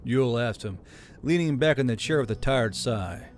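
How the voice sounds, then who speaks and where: A man reading a fantasy novel chapter aloud with a steady, expressive delivery. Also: mid-range voice, moderate pace, slight background noise. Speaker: audiobook narrator. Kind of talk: storybook reading.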